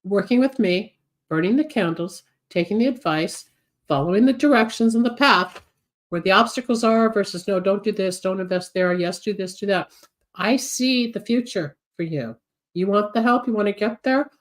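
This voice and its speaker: Older lady in her 70s rambling on a live psychic show, stuffy from a cold, medium pitch. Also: moderate pace. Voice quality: congested. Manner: chatty, rambling, switches topics, gives advice. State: recovering from a cold, coughing. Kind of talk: solo live show monologue, reading viewer comments.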